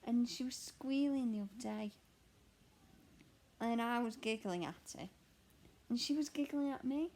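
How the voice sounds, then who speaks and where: Young woman, croaky, gravelly, slow, rambling casual chat. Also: fairly high pitch, darkish tone. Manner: chatty, rambling, candid. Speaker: cross stitch vlogger and mother. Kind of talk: casual chat answering a question tag while stitching. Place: at home.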